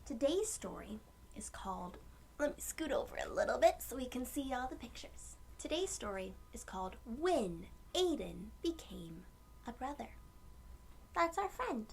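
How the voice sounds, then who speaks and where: A woman with a fairly high voice and a noticeable creak reads a children's story aloud. She phrases things gently and simply, speaking to young listeners as she goes. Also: big pitch swings, moderate pace, slight background noise. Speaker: storyteller reading a picture book aloud to children. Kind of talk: children's read-aloud narration with asides to listeners.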